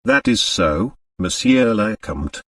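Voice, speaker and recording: A man's voice: fairly low pitch, huge pitch swings, takes it slowly, vocal fry, a bit bright, no room sound, studio quality, far from the mic, heavily acted. A man as a deferential French manservant. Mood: respectful. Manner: formal, obsequious.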